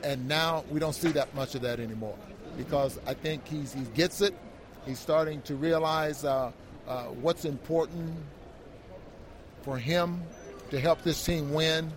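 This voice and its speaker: An American man giving conversational post-game basketball commentary as an analyst, halting and reflective, often repeating words. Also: on the high side, a little hiss or hum.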